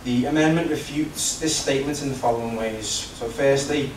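A man's voice: medium pitch, moderate pace. A man serving as councillor, making a formal prepared debate speech in a large council chamber. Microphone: recorded from the back of the room. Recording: distant, echoey, noisy council chamber recording.

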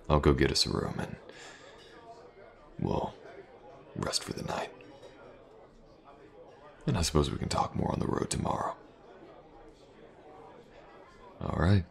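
A man acts out a tough mercenary for hire in a fantasy audio roleplay. His voice is extremely deep and heavily gravelled. He murmurs intimately and unhurriedly, pausing often, with a teasing streak. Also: exaggerated voice. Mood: relaxed, low. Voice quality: gravelly, breathy low murmur.

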